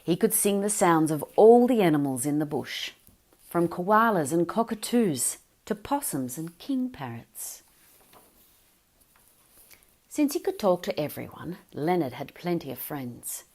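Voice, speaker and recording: A woman's voice: lowish voice, big pitch swings, a little slow, a little mellow. A woman narrating a children's picture book aloud, telling it expressively and dropping to a whisper for suspense.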